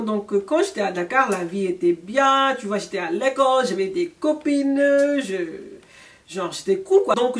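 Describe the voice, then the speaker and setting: A woman's voice: medium pitch, distant mic. Chatty Senegalese woman telling her story. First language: French. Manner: chatty and candid, laughs often, mixes in English words. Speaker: YouTuber. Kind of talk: storytime vlog. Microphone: camera at chest-up framing.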